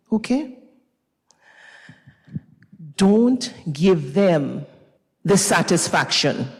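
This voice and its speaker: An old Grenadian mother, played by a woman on stage, wise and consoling. Her voice is husky and swings expressively as she takes her time over each phrase, ringing in a reverberant recital hall. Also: fairly low pitch, a little mellow, exaggerated voice. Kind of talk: dramatized stage reading of fiction.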